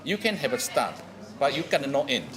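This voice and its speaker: A man who is not a native English speaker, giving an unscripted volunteer testimonial in halting, non-native phrasing. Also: medium pitch, very animated, crowd noise.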